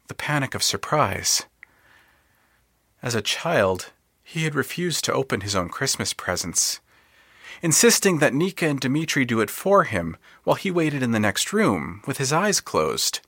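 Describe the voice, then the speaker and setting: A man's voice: medium pitch, sing-song, a little slow, sharp tone, no room sound, clean studio sound. A man narrating scripted literary fiction in a dramatic, wry storytelling manner. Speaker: narrator of an audio fiction podcast.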